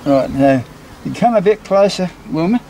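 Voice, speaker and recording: Mellow man amid faint bee buzz. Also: high-pitched, expressive, moderate pace, low-quality recording. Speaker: beekeeper presenting a hive inspection. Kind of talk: casual explanatory vlog narration. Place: outdoors beside a beehive and brick house. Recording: clear buzzing insect noise behind. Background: buzzing bees.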